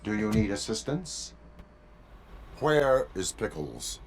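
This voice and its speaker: A man playing a stern robotic cyborg police officer in a video game, speaking in a formal, clipped, deadpan way. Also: lowish voice, takes it slowly, slight background noise, full character voice. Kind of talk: video game cutscene acting.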